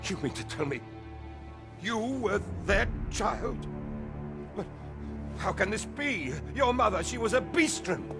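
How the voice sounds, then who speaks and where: An actor playing an arrogant, indignant noble villain in a fantasy game, with haughty, melodramatic, archaic delivery. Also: male voice, high-pitched, big pitch swings, slow pace, pauses often, music in the background, full character voice. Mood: shocked, disbelieving. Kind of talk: fantasy video game voice acting.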